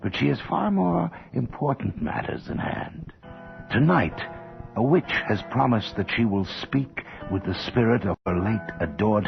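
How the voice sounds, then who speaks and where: An actor playing a sinister, theatrical horror host, narrating a scripted radio drama in a gravelly voice. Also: male voice. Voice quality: deep, gravelly. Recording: dull old radio broadcast recording.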